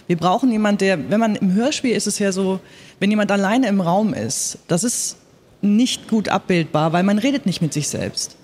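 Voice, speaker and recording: A woman's voice: medium pitch, darkish tone. A woman explains casually on a live panel in an emphatic, informal manner. Long hall reverb trails her voice. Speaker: panel guest. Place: live stage at a book fair.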